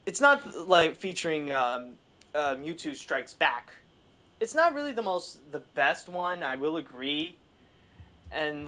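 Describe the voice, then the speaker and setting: Male podcast panelist, slightly high voice, casual and halting, hunts for words in a film chat. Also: a bit bright. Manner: halting, searching for words, frequent "like".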